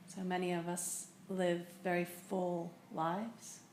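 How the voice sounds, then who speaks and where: A woman teaching a guided meditation, speaking in a gentle, unhurried way with long pauses. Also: on the low side, flat delivery. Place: indoor room in a building. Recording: echoey room with faint noise.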